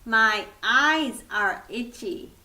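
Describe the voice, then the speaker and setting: Very slow, bright-voiced woman teacher. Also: fairly high pitch, lively intonation, echoey room. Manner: careful, deliberate enunciation. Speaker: English teacher. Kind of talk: slow model sentences for learners.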